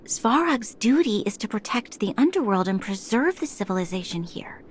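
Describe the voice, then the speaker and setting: A woman playing a reasonable, gentle young woman in a video game, speaking tactfully and earnestly. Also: mid-range voice, big pitch swings, normal speed, a little hiss or hum, close to an everyday voice. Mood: earnest, concerned.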